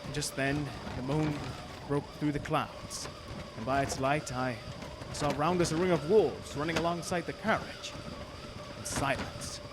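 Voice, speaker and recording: A man's voice: fairly high pitch, a little slow, background noise, natural voice. A man playing an earnest young lawyer's clerk, narrating his journal formally and intensely in a gothic radio drama. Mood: fearful wonder. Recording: loud storm and carriage effects behind.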